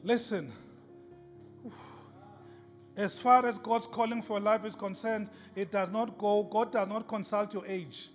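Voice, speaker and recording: Male preacher, very high voice, emphatic and repetitive delivery, big hall reverb, impassioned sermon. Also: steady tone, a little slow, pauses often. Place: large reverberant indoor worship space. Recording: hall reverb with music behind.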